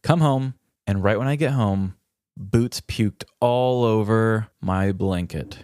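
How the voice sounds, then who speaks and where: A man's voice: on the low side, takes it slowly, a little mellow, no room sound, studio quality. A man telling stories casually as a podcast co-host, with wry, self-deprecating humor. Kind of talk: casual comedic storytelling chat.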